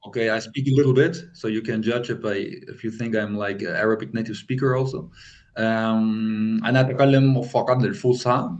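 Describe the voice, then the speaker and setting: A man's voice: fairly low pitch, fairly even intonation, quick pace, highs cut off. A man on a video call, chatting casually and playfully quizzing his partner with questions. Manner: playful, testing the other with questions. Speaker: polyglot video host. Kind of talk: casual chat.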